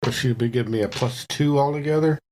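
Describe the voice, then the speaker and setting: A man's voice: mid-range voice, quick pace, darkish tone, slight background noise. A man talking casually as a tabletop game player, describing what his character does.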